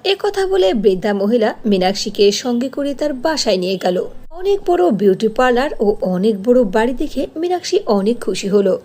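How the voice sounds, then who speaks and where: A woman's voice: a little high, sing-song, a little fast, a little mellow. A woman narrating a scripted cartoon story in a storybook style.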